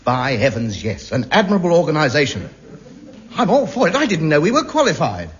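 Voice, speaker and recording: A flustered, pompous stingy bloke. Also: male voice, fairly high pitch, wildly swooping pitch, a little fast. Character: blustering, self-pitying, penny-pinching grown man. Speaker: lead comic character. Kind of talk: 1950s radio sitcom acting. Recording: muffled hissy 1950s radio broadcast.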